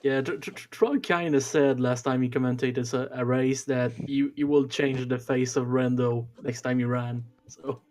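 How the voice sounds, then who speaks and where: A man's voice: mid-range voice, steady tone, moderate pace, hardly any pauses, a little mellow, a little hiss or hum. Quebec-accented male commentator, chatty and giggly, stammery. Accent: Quebec French. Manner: chatty, laughs often, stammers on starts. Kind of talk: casual stream commentary.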